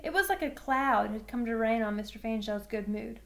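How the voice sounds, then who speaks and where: High-voiced woman reading a story. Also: normal speed, vocal fry. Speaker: teacher reading a book aloud. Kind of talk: expressive children's novel read-aloud.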